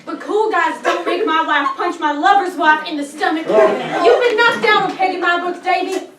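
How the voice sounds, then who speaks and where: Over a loud audience in an echoey room, a woman plays a clueless, lovestruck guy in a stage comedy. The voice is very high and lively, full of earnest melodrama. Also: moderate pace, distant mic, a loud crowd. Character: earnest, lovestruck, clueless dude pining for his buddy. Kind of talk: staged play reading. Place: large indoor room, live staged reading.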